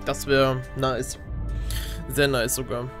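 A man's voice: medium pitch, little pitch movement, slow. A young man doing casual let's play commentary on a headset, grumbling and swearing as he goes. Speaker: let's player. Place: indoors at a computer. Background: game music.

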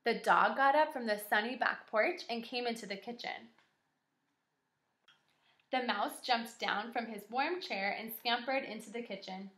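Young woman, kindergarten teacher, high bright voice, encouraging, deliberate articulation, read-aloud lesson, echoey room. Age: young adult. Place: small room at home, indoors. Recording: roomy, echoing home video.

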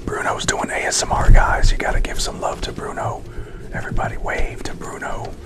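A young man hosting a casual livestream, greeting viewers and playfully scolding his dog in a whispery voice. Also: a little fast. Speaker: livestream host.

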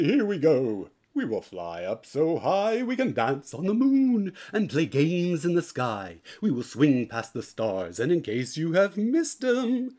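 A reader giving an expressive, theatrical read-aloud of a rhyming children's picture book in a sing-song way. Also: mid-range voice. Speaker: storybook reader.

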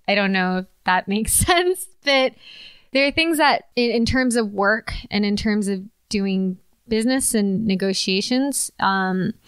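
A woman in her twenties with a Californian accent, a podcast guest talking casually at an unhurried pace with fillers. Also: medium pitch, a bit bright, clean tone, no echo. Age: 20s. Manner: slow, unhurried, with light laughter and fillers. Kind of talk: casual interview conversation.

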